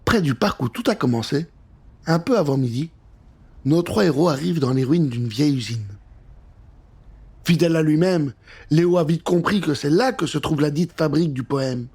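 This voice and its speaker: A man narrating a scripted children's adventure story with an expressive, dramatic delivery. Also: fairly high pitch, normal speed, breathy edge. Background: music.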